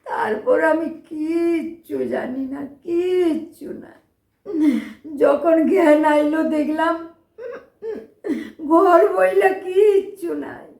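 A very high, creaky voice of a poor old East Bengali woman, worn down by grief. She speaks slowly and plaintively, close to wailing, in an emotional radio play with a roomy echo. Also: a little mellow, full character voice. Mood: anguished. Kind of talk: emotional audio drama acting.

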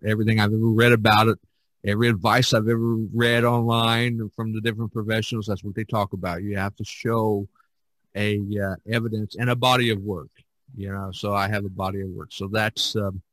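A man hosting a casual podcast discussion, thinking aloud in a halting way and repeating words. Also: on the low side, a bit bright, no echo, low-quality recording. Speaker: podcast host and artist.

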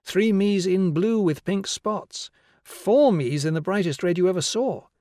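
A man voicing a kindly woman teacher in an audiobook, coaxing and instructing children with deliberate phrasing. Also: sits high, expressive, a little mellow, no echo, clean studio sound, voice pushed up. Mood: brisk, playful. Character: Susila, tall kindly woman teacher guiding children's pretend games. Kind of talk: audiobook character voice.

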